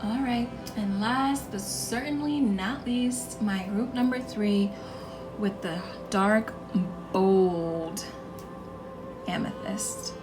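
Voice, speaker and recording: A woman's voice: mid-range voice, slow. Froggy woman doing an earnest tarot reading, a bit far off the mic, music going in the background. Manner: earnest, encouraging, repeats herself often. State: froggy strained voice. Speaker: tarot card reader. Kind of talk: casual spiritual pick-a-card reading. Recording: echoey room, far from mic, music behind.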